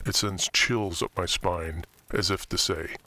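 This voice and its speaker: An actor playing a brooding, theatrical occult collector, narrating a scripted horror tale in a measured way with dramatic pauses. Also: male voice, low-pitched, fairly even intonation, pushed and bright, full character voice. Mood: brooding, hushed. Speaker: narrator and main character of horror fiction podcast. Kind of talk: scripted first-person horror storytelling.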